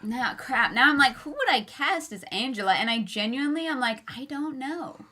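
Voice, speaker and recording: A woman's voice: high-pitched, expressive, normal speed, pushed and bright. A woman hosting a book club podcast, chatting casually with lots of fillers. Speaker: podcast host.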